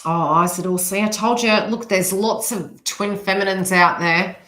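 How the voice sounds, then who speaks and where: A woman's voice: mid-range voice, moderate pace, pushed and bright. A woman with a slight New Zealand accent chatting casually and ramblingly to live viewers as she gives spiritual advice. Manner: rambling, conversational asides to viewers. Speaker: host, psychic reader chatting with live viewers. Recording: roomy, echoey sound.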